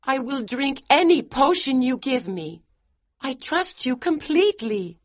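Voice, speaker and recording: A man's voice: extremely high pitch, lively intonation, a little slow, voice pushed up. Man doing a pleading devoted young wife.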